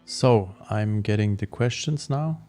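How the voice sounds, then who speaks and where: A man hosting a podcast, reading out listener questions in a relaxed, casual way. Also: fairly low pitch, measured pace, faint music behind. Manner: brief backchannels, relaxed. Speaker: podcast host. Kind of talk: casual interview.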